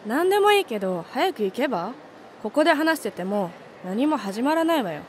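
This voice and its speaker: A woman's voice: on the high side, big pitch swings, a little fast, slight background noise. A woman playing a cool, impatient schoolgirl magic student in an anime-style voice drama, curt and aloof.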